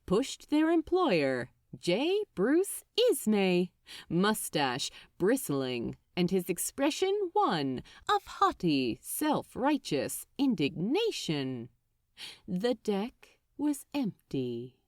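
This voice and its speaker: A woman reading fiction aloud as narrator, with dramatic, deliberate phrasing and an expressive storytelling style. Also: medium pitch, no room sound, studio quality.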